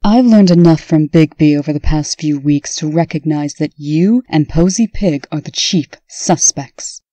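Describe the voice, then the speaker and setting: A woman's voice: low voice, a little slow, warm tone, dry recording. A woman playing a stern, authoritative princess in a comic dub, firm in manner. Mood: challenging. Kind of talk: comic dub character acting.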